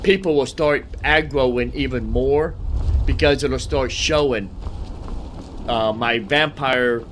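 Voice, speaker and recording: A man's voice: mid-range voice, takes it slowly, fairly bright tone, slight background noise. A man giving casual gameplay commentary in a folksy, rambling way, explaining things and talking to himself. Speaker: gaming host.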